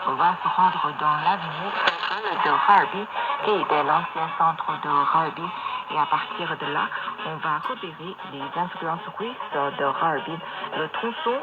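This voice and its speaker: A radio presenter reading a scripted introduction to a travel programme over shortwave. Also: medium pitch. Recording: noisy shortwave radio, narrow phone-like band.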